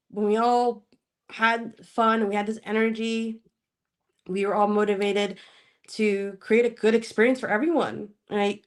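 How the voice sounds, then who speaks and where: A woman from Spokane, Washington, speaking as a podcast guest in casual interview chat, laughing often and pausing to search for words. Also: on the high side.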